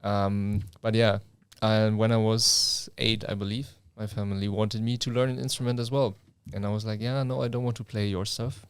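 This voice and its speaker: A young man hosting a laid-back podcast, his humor dry and understated. His voice is low and very smooth, captured close and dry with no room echo. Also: moderate pace, hardly any pauses. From Germany. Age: young adult. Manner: relaxed, wry deadpan humor. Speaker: podcast co-host. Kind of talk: casual chat. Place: indoor room, seated in front of camera.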